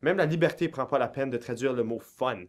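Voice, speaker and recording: Young franco-manitoban guy, chill delivery, mid pitch. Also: a little fast. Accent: Franco-Manitoban French. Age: young adult. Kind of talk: scripted film dialogue.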